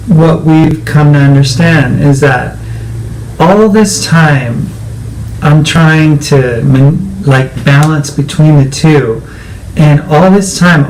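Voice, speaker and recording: Man, higher voice, reflective, halting phrasing, faint background hiss. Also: a little mellow, low-quality recording. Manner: reflective, searching for words. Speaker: co-host. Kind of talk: personal conversation.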